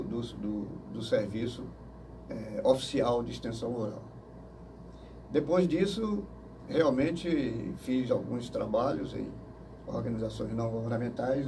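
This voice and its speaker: An older man from Manaus, answering interview questions slowly and hesitantly, with many fillers and restarts. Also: mid-range voice, dark tone. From Manaus, Brazil. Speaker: interview guest. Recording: distant mic with faint noise.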